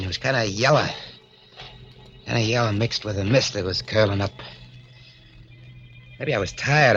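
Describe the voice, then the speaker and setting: A jittery escaped killer. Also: male voice, on the low side, pushed and bright. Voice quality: hesitant, stammering. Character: escaped male asylum killer, nervous and evasive. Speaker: narrator and lead character. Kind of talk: 1940s radio drama acting. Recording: dull vintage radio recording with music.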